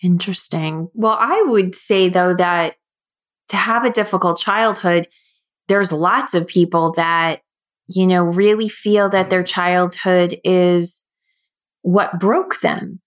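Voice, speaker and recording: A woman hosting a podcast, speaking in a thoughtful, measured way. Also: on the low side, steady tone. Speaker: podcast host, coach. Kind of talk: podcast intro and interview.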